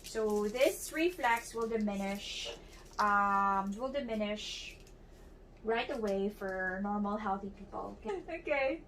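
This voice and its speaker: A woman's voice: mid-range voice, slow pace, a bit bright. A young woman demonstrating a clinical examination, explaining each step as she instructs the patient, with frequent fillers and restarts. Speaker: student demonstrating a clinical exam. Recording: distant mic with faint background noise.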